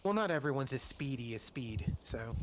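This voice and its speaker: A man's voice: mid-range voice, lively intonation, normal speed, a crowd in the background. A man hosting a casual voice chat, steering the talk and asking the others questions. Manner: friendly moderator, asks others questions. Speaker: host.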